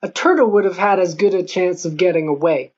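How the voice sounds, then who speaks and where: A man's voice: high voice, a little fast. Male audiobook narrator, slightly dull sound. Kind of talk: scripted narration of a comic novel.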